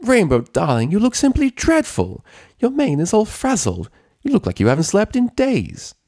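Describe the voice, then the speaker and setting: A man's voice: high-pitched, huge pitch swings, moderate pace, darkish tone, dry recording, clean studio sound, voice pushed up, heavily acted. A man voicing a dramatic, fussy lady pony with a theatrical, scolding manner in a story.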